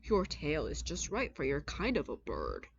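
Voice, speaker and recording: A woman's voice: low voice, huge pitch swings, normal speed, lo-fi sound, a little hiss or hum, full character voice. A woman voicing a stern old male doctor in a storybook, clipped and scolding, half in a whisper. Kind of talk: storybook read-aloud.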